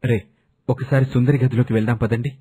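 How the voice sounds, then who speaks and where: A man acts a stern village elder brother in an animated tale. The voice is very husky and rough, muted and dark, with a suspicious, commanding manner. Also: medium pitch, fast, lightly acted. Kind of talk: animated moral story dubbing.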